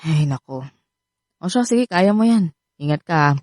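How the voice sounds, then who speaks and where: An actress with a Filipino accent playing a teasing but concerned confidante in a radio drama, chatty and exasperated. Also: female voice, on the low side, animated, normal speed, natural voice.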